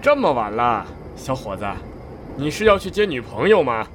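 A man's voice: mid-range voice, big pitch swings, normal speed, a bit bright, slight background noise. A man acting a chatty, easygoing taxi driver in an audio drama. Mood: chatty, teasing.

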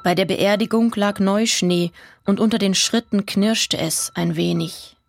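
An actress playing a deadpan, matter-of-fact teller of macabre, absurd tales in a radio play. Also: female voice, mid-range voice, measured pace, gravelly, faint music behind. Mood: deadpan, quiet. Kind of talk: literary radio play narration.

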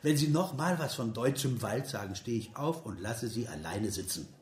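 An actor in a radio play voicing a bitterly sarcastic political cynic of 1930s Germany, with a rounded, rough voice and biting, declamatory delivery. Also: male voice, medium pitch, expressive, normal speed, natural voice. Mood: scornful. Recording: roomy echo, music behind.

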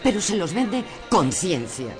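A man acts out a docile lady devoted to consumerism in a surreal radio drama. The delivery is theatrical and preachy, with wildly swooping intonation and a Castilian accent. Loud music plays underneath. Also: high-pitched, big pitch swings, a little slow, a crowd in the background. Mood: preachy, insistent. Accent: Castilian Spanish. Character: docile, smug female shopper devoted to consumerist control.

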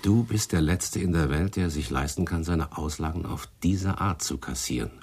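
An actor playing a world-weary, wry private detective, narrating and acting in a hard-boiled radio drama with laconic, dry delivery. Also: male voice, a bass voice, moderate pace, darkish tone, breathy edge, exaggerated voice. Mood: wry, wary. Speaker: narrator and lead character.

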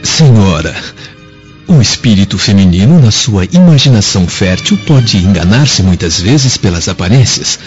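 A man's voice: on the low side, animated, moderate pace, close to an everyday voice. An actor playing a cynical, scheming man of ancient Rome in a radio drama, with formal, courtly, insinuating speech. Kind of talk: dramatic radio play acting. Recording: dull lo-fi audio with music behind.

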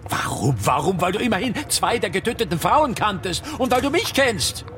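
A middle-aged teacher in a radio drama, agitated and full of self-pity. His fairly high voice is emphatic and impatient, with a sardonic edge. Clear street-like noise runs behind him. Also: very animated, moderate pace, sharp tone, full character voice. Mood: sardonic, pleading.

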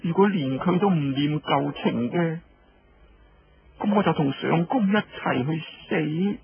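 A man's voice: a little high, phone-quality sound, slight background noise. A man voicing a distraught, stubbornly loyal young wife who pleads in Cantonese-accented radio storytelling. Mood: despairing. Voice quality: husky. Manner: slow, plaintive, pleading.

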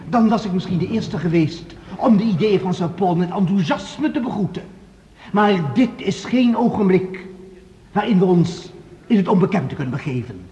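High-voiced, sardonic sceptical professor. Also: male voice, a little mellow, a little hiss or hum. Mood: grave, insistent. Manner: deliberate, drawn-out, sardonic rhetoric. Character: sceptical, cautious male professor, stern opponent of bold plans. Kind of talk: Dutch radio drama acting, formal speech. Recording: reverberant hall, dull band-limited sound.

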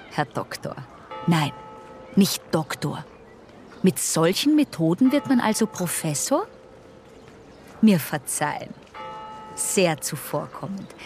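Slow, bitterly sarcastic young woman. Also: sits low, very animated, a little mellow, soft background music, full character voice. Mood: bitter sarcasm. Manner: sarcastic, drawn-out emphasis. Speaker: actress in a radio drama.

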